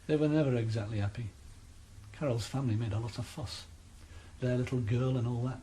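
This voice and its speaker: A man's voice: medium pitch, fairly even intonation, brisk, warm tone, a little hiss or hum, natural voice. A man playing a cool, menacing crime boss. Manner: menacing, matter-of-fact. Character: cold, menacing middle-aged male crime boss. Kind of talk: radio drama acting.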